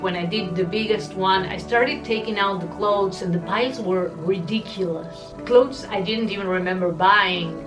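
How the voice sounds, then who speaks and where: Woman, not a native English speaker, confessional vlog, candid and self-deprecating, clear background music. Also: medium pitch, low-quality recording. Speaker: vlogger. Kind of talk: personal confessional vlog narration.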